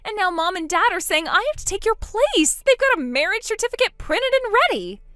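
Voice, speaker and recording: A woman's voice: very high voice, very animated, a little fast, fairly bright tone, dry recording, studio quality, pitched above the performer's own voice, full character voice. A woman voicing a frightened young woman trapped before a forced wedding, pleading and panicky. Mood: panicked.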